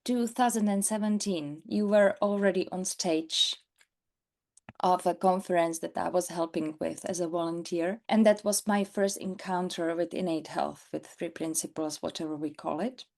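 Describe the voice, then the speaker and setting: A woman from the Czech Republic with a Czech accent, a coach chatting in an interview, halting and repeating words as she searches for phrasing. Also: fairly low pitch, fairly even intonation, a little mellow. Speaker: transformative coach and mentor. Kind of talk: casual interview chat.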